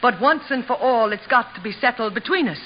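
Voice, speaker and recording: Woman acting a high-voiced, long-suffering theatrical wife. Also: animated, brisk, close to an everyday voice. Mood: hurt, firm. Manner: theatrical, patient, hesitant coaxing. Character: long-suffering wife, dignified former stage leading lady. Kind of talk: old-time radio situation comedy acting. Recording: muffled, hissy old-time radio recording.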